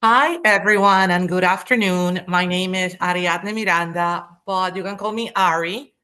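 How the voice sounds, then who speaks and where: A woman's voice: lowish voice, steady tone, normal speed, sharp tone. A woman from Panama, presenting a webinar as a linguist in an enthusiastic, welcoming way over a video call.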